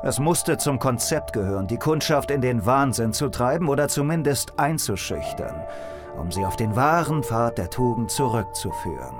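An actor playing a weary, sardonic detective who narrates his own thoughts in a dry, measured way in an audio drama. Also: medium pitch, very animated, close to an everyday voice. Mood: brooding, sardonic. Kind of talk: scripted first-person audio drama narration. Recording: music behind the voice. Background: music.

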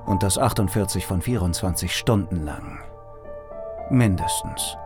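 Man acting low, sardonic noir detective narrator. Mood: brooding, sardonic. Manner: dry, sardonic, measured. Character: weary, sardonic male detective narrating his noir thoughts. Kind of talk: scripted first-person audio drama narration. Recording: music behind the voice. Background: music.

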